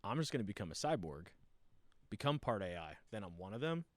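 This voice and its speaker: A man's voice: on the low side, big pitch swings, a little fast. A young man hosting a podcast, chatting casually, rambling through explanations and laughing often. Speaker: podcast host. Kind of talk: casual chat.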